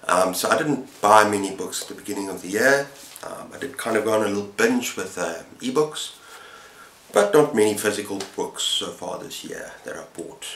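A middle-aged man talks casually to a camera in the manner of a book vlogger. His voice sits fairly low, and he moves in short, halting phrases. The room adds a clear echo around his words. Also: animated, fairly bright tone. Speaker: book vlogger, channel host. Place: book-lined room at home, indoors. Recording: roomy, echoing indoor sound.

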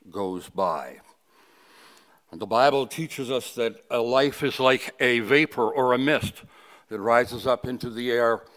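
A man of sixty-nine from Canada delivering a funeral sermon at a lectern, reflective and halting, with personal reminiscence. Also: medium pitch, a bit bright. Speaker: funeral officiant, preacher. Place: indoors at a funeral home, at a wooden lectern. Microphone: lectern microphone.

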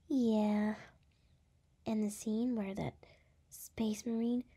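Woman acting a slow, breathy, brash young gal. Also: a little high, dark tone, heavily acted. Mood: excited. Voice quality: breathy, often dropping into whispers. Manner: halting, teasing, exasperated. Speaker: voice actress. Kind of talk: ASMR roleplay.

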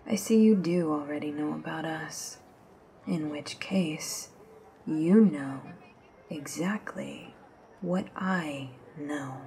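A smug, menacing woman of mystery played by an actress in an ASMR drama. She taunts in a low, slow half-whisper, with faint ambience behind her. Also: mellow, slight background noise. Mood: smug, taunting. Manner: taunting, drawling.

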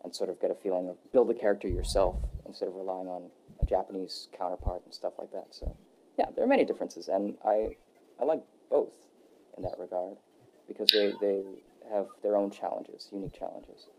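A young adult man answering interview questions in a relaxed, rambling way, with many fillers and restarts. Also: deep voice, brisk, a little hiss or hum, low-quality recording. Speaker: voice actor guest. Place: Texas.

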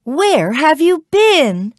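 A woman voicing an excitable, scolding mother in a children's storybook, speaking in exclamations. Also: fairly high pitch, takes it slowly, no echo, clean studio sound, pitched above the performer's own voice, exaggerated voice. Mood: questioning. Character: poor, excitable, scolding mother of young boy.

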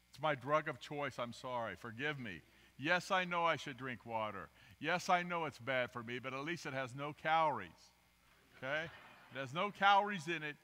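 Older male preacher, bright voice, reverberant church. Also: on the high side. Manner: rambling, self-deprecating, swings from loud emphasis to quiet asides. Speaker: guest preacher. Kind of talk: storytelling sermon. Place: church sanctuary, large indoor room. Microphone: podium microphone, filmed from the pews.

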